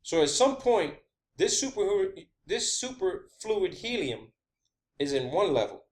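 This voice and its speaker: A man's voice: high voice, very animated, unhurried. A man giving an informal, emphatic solo explanation, swearing freely and repeating phrases for stress. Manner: checks in often with "okay" and "all right". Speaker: video creator presenting own theories. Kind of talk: informal monologue rant and explanation.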